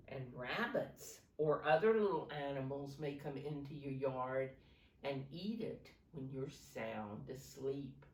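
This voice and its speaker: A woman reading a picture book aloud to young children as a teacher, pausing to explain simply and ask them questions. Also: low voice. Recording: roomy echo, distant from microphone.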